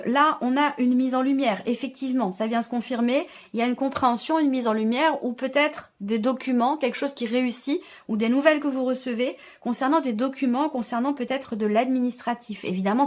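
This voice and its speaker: A woman with a fairly high voice hosts a tarot reading. She talks informally to her viewers, thinking aloud and often rephrasing as she interprets each card. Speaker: tarot reader and channel host.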